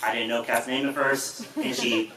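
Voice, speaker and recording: A young man in his twenties giving a wedding thank-you speech in a reception hall, dry and joking, with a voice he calls a monotone. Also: medium pitch, normal speed. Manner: self-described monotone, dry jokes. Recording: roomy hall mic from a distance, loud noise.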